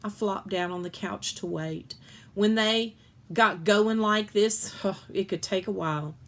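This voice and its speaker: Female reader, children's fiction read-aloud. Expressive storytelling delivery, medium pitch, natural small slips. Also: unhurried. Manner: expressive, acts out dialogue, occasional stumbles and self-corrections.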